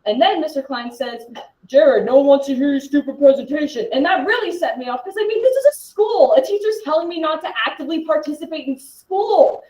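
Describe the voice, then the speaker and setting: A young man playing an uptight, argumentative, studious teenage boy in a live scene, precise, defensive and excitable. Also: very high-pitched, brisk, voice pushed up. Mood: aggrieved. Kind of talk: live duo scene performance. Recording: echoey room.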